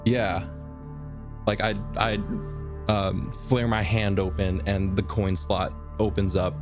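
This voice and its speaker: A man improvising casually as a player in a tabletop game, halting as he thinks aloud. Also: lowish voice, flat delivery, music in the background. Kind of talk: casual improvised role-play.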